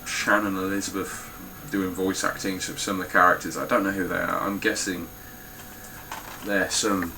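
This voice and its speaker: A man's voice: sits low, level tone, moderate pace, vocal fry, noisy background. A man with a stuffy, nasal voice giving a casual, blunt spoken review of his game collection, with frequent ums and some swearing.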